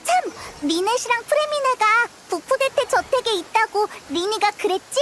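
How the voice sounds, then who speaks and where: An actress voicing a tiny, cheerful childlike fairy companion in a game, chirpy and excitable. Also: female voice, very high voice, moderate pace, a little hiss or hum. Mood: chirpy, caring. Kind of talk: cartoonish game voice acting. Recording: music under the voice.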